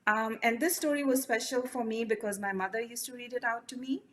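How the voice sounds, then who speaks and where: A woman storyteller whose first language is Urdu, telling children's folk tales with lively expression. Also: fairly high pitch, a little fast. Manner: animated, expressive, addresses the children. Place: library event.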